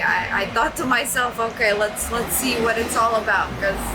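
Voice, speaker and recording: A young woman from Serbia, a guest in an interview, telling her story in a chatty, self-deprecating way with many fillers and restarts. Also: fairly high pitch. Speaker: guest, trucking entrepreneur. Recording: loud background noise.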